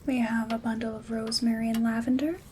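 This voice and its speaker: A woman playing a calm, gentle witch in an ASMR roleplay, soft-spoken and often slipping into whispers as she gives step-by-step instructions. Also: on the high side, fast, a little hiss or hum, close to an everyday voice. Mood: calm, focused. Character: calm, gentle witch crafting herb bundles. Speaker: ASMR host. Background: rain sounds.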